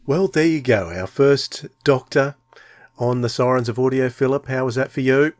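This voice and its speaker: A man's voice: medium pitch, moderate pace, dry recording, clean studio sound. A man co-hosting a podcast in casual, conversational chat. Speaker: podcast co-host.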